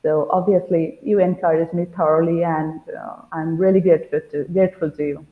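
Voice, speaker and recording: A woman's voice: sits low, fairly even intonation, fairly quick. A woman with an Indian English accent, a pronunciation student, speaking hesitantly with many fillers over a video call. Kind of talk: video-call testimonial interview. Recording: tinny phone-like line, echoey room.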